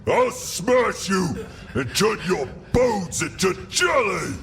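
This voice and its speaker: A man's voice: high-pitched, very animated, takes it slowly, heavily acted. A man voicing a cruel, hulking Oni monster that bellows threats and gloats over its prey. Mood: menacing. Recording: music playing behind.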